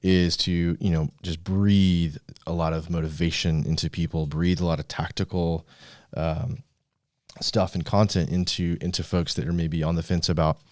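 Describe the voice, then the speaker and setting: A man's voice: sits low, little pitch movement, normal speed, a little mellow, no echo, studio quality. A man hosting a motivational podcast in a conversational, informal way. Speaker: podcast host. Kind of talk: casual motivational podcast talk.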